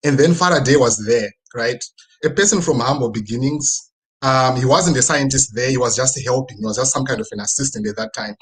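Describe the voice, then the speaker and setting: A man with a Zimbabwean accent and a husky, slightly rough voice at a middling pitch. He speaks as a guest presenter online, telling a personal, motivational story. His delivery is conversational, dotted with little tags that seek agreement. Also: brisk, rough recording. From rural Midlands region of Zimbabwe. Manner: conversational, frequent tag questions seeking agreement. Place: online video presentation with screen sharing.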